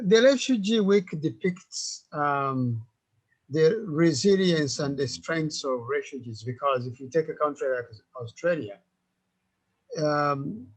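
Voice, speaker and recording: Earnest man, higher pitch. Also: low-quality recording. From Uganda. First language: Kinyarwanda. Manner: earnest, halting with repeated words. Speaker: guest. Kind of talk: webinar interview answers. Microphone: video call.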